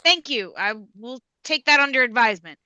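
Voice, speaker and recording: A woman's voice: fairly high pitch, lively intonation, fairly quick, crowd noise, close to an everyday voice. A woman playing a stern, no-nonsense courtroom judge, authoritative and formal, in a live comedy table read. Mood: brisk formal.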